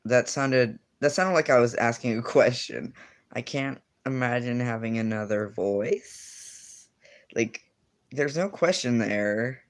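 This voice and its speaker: A teenage boy chatting on a livestream, talking to viewers in a loose, rambling way. He keeps restarting his sentences and stuffing them with fillers. His voice sits at an ordinary pitch for a young man. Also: slow. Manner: rambling, filler-heavy, self-correcting. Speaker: streamer. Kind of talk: casual livestream chat reading comments.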